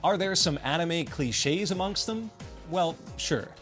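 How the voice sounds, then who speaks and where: A man's voice: fairly high pitch, expressive, a little slow, a bit bright, soft background music. A man delivering scripted video game commentary in a sardonic, wry way with exaggerated emphasis.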